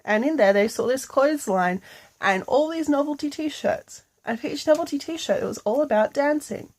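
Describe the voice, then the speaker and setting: A woman with a fairly high voice tells a made-up bedtime story. Her delivery is casual and playful, full of slang, like she is inventing it on the spot. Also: animated, moderate pace, a little mellow.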